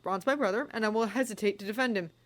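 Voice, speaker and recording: Woman voicing a calm protective wizard brother. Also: medium pitch, quick pace, pitched above the performer's own voice, lightly acted. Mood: calm warning. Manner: calm, steady.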